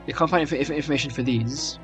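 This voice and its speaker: A young man giving casual, hesitant, rambling commentary as he tries out software on screen, often muttering reactions to himself. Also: a little high, fast talker, over music. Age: young adult. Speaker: video creator. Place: small room indoors.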